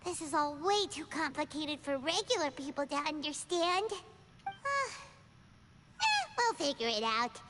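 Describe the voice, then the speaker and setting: A tiny fairy sidekick girl from a video game, extremely high and squeaky. The delivery is bubbly and chatty, swinging up and down in pitch. Noise sits behind the voice. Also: big pitch swings, measured pace, a bit bright, exaggerated voice. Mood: chatty, puzzled. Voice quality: squeaky, childlike. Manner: speaks of self in third person, bubbly. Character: small cheerful chatty fairy companion girl. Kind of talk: video game character dialogue.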